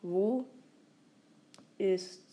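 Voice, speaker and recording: Eine erkältete Frau, die als Host eines Gaming-Podcasts locker und aufgeregt über ihr Spiel erzählt, mit vielen Füllwörtern. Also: female voice, medium pitch, drawn-out words. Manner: excited, rambling, many fillers. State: has a cold. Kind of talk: casual gaming vlog chat.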